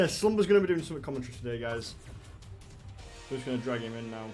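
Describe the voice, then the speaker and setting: A man's voice: mid-range voice, animated, fairly quick, a little mellow. A man hosting and commentating a fighting-game stream, laughing and bantering over voice chat. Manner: laughing, banter-heavy, swearing. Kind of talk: casual esports commentary. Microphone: online voice chat. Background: game music.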